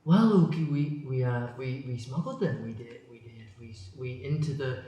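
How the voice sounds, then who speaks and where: A man's voice: mid-range voice, moderate pace, dark tone, close to an everyday voice. A man playing a meek, evasive husband in an improvised comic radio play, stammering and hesitant. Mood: evasive, sheepish. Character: meek, evasive, henpecked husband in a criminal scheme. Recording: distant, muffled, very echoey.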